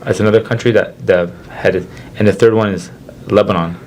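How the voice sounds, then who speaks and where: A male podcast host with a fairly low voice chats casually. His phrasing is informal and a little tentative, as in relaxed conversation. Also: fairly quick, slight background noise, rough recording. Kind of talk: casual chat.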